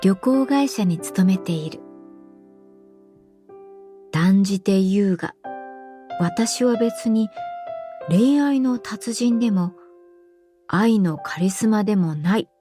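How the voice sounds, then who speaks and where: A woman's voice: mid-range voice, expressive, slow, pauses often, dark tone, soft background music. A woman performing a radio drama monologue as a dry, reflective travel agency employee of 38. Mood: wry, reflective.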